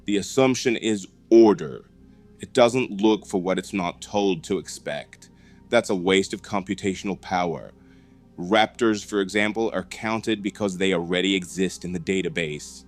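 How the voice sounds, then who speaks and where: Guy acting as a dry low-voiced computer tech, precise n wry, analog horror film stuff. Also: natural voice. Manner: matter-of-fact, precise, wry. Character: dry, methodical male computer technician at a dinosaur park. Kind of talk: scripted found-footage horror film dialogue.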